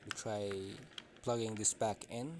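A man's voice: fairly low pitch, fairly even intonation, unhurried. A man gives a casual step-by-step tutorial in short, simple instructions. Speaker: tech tutorial host. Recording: clearly noisy room ambience.

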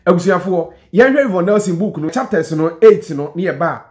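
A man's voice: high-pitched, lively intonation, a little fast, a little mellow. A Ghanaian man, a Twi speaker, giving chatty news commentary from a room to a webcam. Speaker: online news presenter. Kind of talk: casual commentary. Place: indoor room.

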